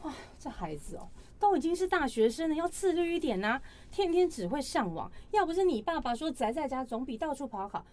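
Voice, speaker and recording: Very high-pitched fretful mother, acted by a woman. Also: sing-song, a little fast, darkish tone. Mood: nagging. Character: middle-aged mother, nagging and anxious over her daughter. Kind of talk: radio drama acting.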